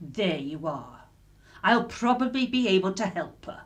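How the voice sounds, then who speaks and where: Actress as a lively, gossipy English neighbour woman. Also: medium pitch, a little slow. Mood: nosy, chatty. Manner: gossipy, colloquial, affected politeness. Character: nosy, chatty working-class woman neighbour, putting on airs. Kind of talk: radio drama acting.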